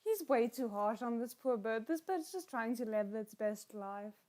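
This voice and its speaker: A woman giving casual live reaction commentary, musing aloud in a halting way with trailing asides. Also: fairly high pitch, animated, a little mellow. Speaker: reaction host.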